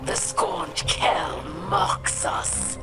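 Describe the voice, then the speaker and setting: A woman's voice: drawn-out words, loud music behind the voice. A woman playing a proud, scornful alien warrior in a video game, haughty and formal in her speech.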